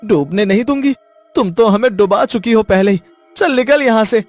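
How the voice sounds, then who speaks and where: Man acting an alarmed, higher-voiced cartoon villager. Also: moderate pace, faint music behind, close to an everyday voice. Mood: hostile, alarmed. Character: alarmed, distrustful village men in a flood. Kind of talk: dramatic cartoon dialogue.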